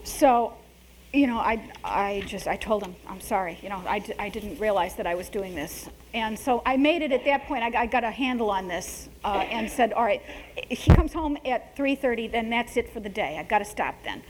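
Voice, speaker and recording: A middle-aged woman author giving an animated, self-mocking talk and laughing at her own jokes. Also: fairly high pitch, normal speed, noisy background. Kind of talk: conversational public talk.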